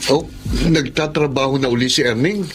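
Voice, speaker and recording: A man's voice: mid-range voice, normal speed, lightly acted. A man playing a nosy, skeptical buddy in a Filipino radio drama, questioning and incredulous. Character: nosy, skeptical drinking buddy, disapproving of charity. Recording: music under the voice.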